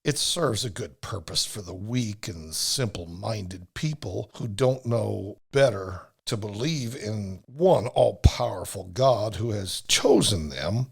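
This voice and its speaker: A man playing a sly, flattering prince in a Bible audio drama, condescending and oily, with theatrical pauses. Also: lowish voice, gravelly, slightly rough, exaggerated voice. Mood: smooth, condescending. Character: sly, flattering male prince urging a king toward idols.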